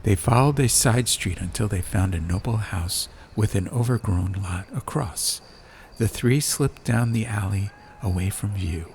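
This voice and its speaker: Male audiobook narrator, lowish pitch, measured, dramatic storytelling, faint fantasy ambience behind. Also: darkish tone, a little hiss or hum. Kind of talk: atmospheric scripted story narration. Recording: ambient sound effects behind.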